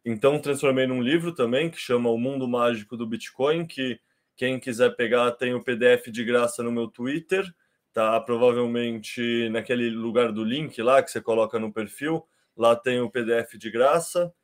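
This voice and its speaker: A man in his thirties from Brazil with a Brazilian Portuguese accent, talking as a podcast guest in an unhurried, explanatory way with frequent restarts. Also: mid-range voice, steady tone. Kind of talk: casual conversation.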